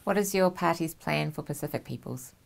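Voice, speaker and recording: A woman, hosting a studio interview with gentle, attentive and slightly hesitant questions. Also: deep voice, brisk, creaky. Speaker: host. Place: small talk-show studio set. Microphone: lapel mic.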